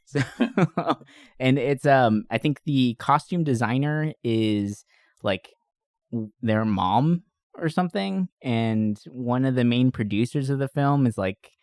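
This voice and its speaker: A man's voice: mid-range voice, slow pace, a little mellow, no room sound, clean studio sound. A man hosting a podcast, chatting casually about films in a rambling, profanity-laden way. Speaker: podcast host. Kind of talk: casual movie discussion.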